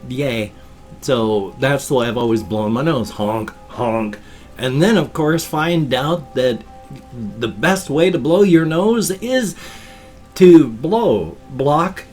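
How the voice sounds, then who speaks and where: A man in his fifties with a stuffed-up, wheezy voice, rambling casually to a webcam in a small room at home. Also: mid-range voice. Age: 50s. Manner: animated, gestures constantly with both hands. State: congested and wheezy. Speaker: vlogger. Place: small home room full of toys and collectibles. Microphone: webcam framing at desk.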